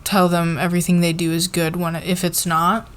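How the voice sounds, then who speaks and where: A woman playing a flustered, fair-minded company boss in a casual roleplay ASMR, with a halting, informal, giggly manner. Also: lowish voice, little pitch movement, natural voice. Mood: earnest, reassuring.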